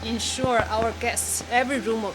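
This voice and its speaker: A woman's voice: fairly high pitch, fairly even intonation, music in the background, poor audio. A woman from Shanxi speaking English with a Mandarin accent, explaining a site with frequent restarts and fillers. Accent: Mandarin Chinese. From Shanxi, China. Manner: halting, self-correcting tour explanation. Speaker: hostel staff guide.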